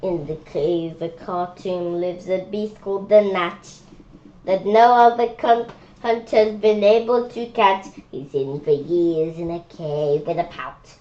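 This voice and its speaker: Unhurried woman reading aloud, halting delivery. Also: fairly low pitch, fairly even intonation, mellow, muffled recording. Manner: halting, stumbling over words and repeating phrases. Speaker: children's book read-aloud reader. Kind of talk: informal read-aloud of a rhyming picture book.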